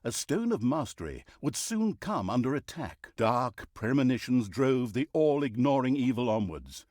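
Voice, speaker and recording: Male mock-grand storyteller for a dark fantasy game; wry, pompous, hugely animated delivery, dry studio sound. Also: medium pitch, moderate pace, no room sound. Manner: wry, mock-grand, breaks into flustered asides. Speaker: video game narrator. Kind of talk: theatrical storybook narration and game announcements.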